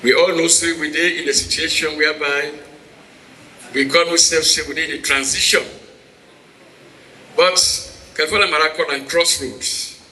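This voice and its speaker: A man's voice: a little high, fairly even intonation, normal speed, pauses often, sharp tone. A man with a Sierra Leonean Krio accent welcoming a gathering as moderator of a meeting. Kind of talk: informal welcome speech to a gathering. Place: hall at a town hall meeting. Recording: echoey hall with background noise.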